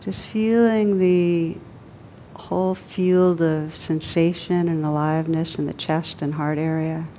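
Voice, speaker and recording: Low-voiced woman guiding a meditation. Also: fairly even intonation. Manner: gentle, soothing, unhurried with long pauses. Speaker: meditation teacher. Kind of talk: guided meditation. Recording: dull lo-fi sound, rumbling background noise.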